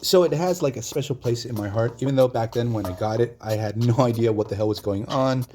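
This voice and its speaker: A hoarse man hosting his own channel, retelling a comic story in a loose, chatty way with lots of fillers. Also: medium pitch, a little fast, dark tone, a little hiss or hum. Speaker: comic book channel host. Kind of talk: casual solo video commentary.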